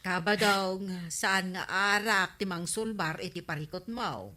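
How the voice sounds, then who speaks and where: A woman's voice: mid-range voice, unhurried, sharp tone. A woman playing a frank, nosy village friend in a radio comedy drama. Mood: prodding.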